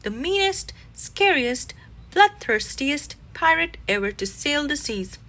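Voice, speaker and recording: A woman voicing an excitable, blustering father bear in a children's storybook, theatrical and exclamatory. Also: high-pitched, slow pace, pitched above the performer's own voice, exaggerated voice. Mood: gleeful menace. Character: excitable, blustering father bear, gleeful about spooky fun.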